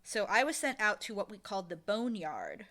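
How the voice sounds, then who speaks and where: Woman guest on a chatty interview, really bright forward voice, animated and informal. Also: mid-range voice, normal speed. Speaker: interviewee, audio drama showrunner and voice actor.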